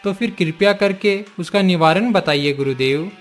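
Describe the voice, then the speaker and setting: A gentle exiled king acted by a man in a cartoon tale. He is patient and forgiving, speaking formally in a moderately high voice over faint music. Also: normal speed, natural voice. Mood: respectful. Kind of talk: Hindi cartoon moral-story acting.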